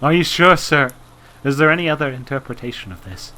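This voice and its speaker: Man playing haunted reverend colonel, raised pitch, bright tone, grave, fervent, halting, prophetic, radio drama. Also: animated, slight background noise, low-quality recording. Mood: anxious concern.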